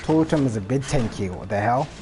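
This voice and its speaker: A man's voice: medium pitch, lively intonation, a little fast, noisy background. A man giving casual, think-aloud commentary while playing a video game on a live stream, with mild swearing. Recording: game music behind the voice. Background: loud game music and effects.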